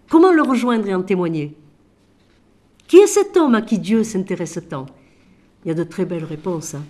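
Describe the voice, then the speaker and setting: A woman as a Franciscan sister with a cold, higher-pitched voice, expressive speaker, asks rhetorical questions, author talk. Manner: expressive, shifting from loud emphasis to quiet asides. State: has a cold. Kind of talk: animated talk about her book.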